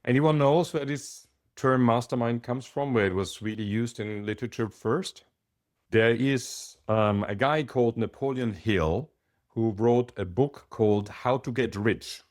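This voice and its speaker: A man's voice: mid-range voice, a little slow, a little mellow. A man from Austria with a German accent, hosting and giving a conversational online talk with frequent fillers and asides. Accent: German-accented English. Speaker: workshop host.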